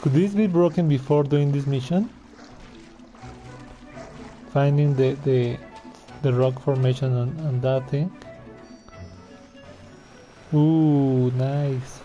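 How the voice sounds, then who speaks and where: Male game streamer, unhurried, slightly high voice, slangy muttered reactions, dull lo-fi mic, faint music. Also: warm tone. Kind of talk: casual gameplay commentary.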